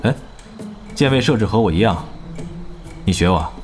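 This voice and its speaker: A man voicing a deep-voiced, curt pro gamer. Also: normal speed, a little hiss or hum, close to an everyday voice. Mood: cool. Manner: calm, curt, understated. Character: cool, terse top pro gamer, young man.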